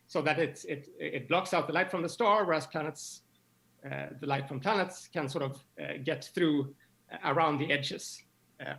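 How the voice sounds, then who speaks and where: A man explaining science as an interview guest, halting and often repeating words as he goes. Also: fairly high pitch, vocal fry, fairly bright tone. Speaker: astronomy professor, interview guest.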